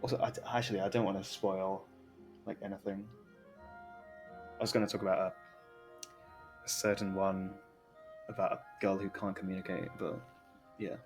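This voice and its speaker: Young man, lowish voice, game streamer, casual commentary, slangy, rambling, jokey, faint music behind. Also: little pitch movement, normal speed, pauses often. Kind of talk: casual live game stream commentary, reading aloud and reacting.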